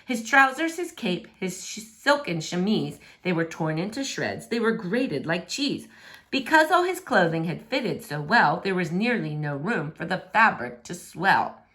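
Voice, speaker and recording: A woman narrating a rhyming children's story aloud in an expressive style. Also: lowish voice, measured pace.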